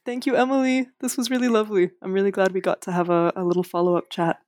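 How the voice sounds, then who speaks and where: Hesitant woman author, flat and dry. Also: medium pitch, fast talker, dry recording. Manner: hesitant, many fillers and restarts, self-deprecating. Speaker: author guest. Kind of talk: casual interview.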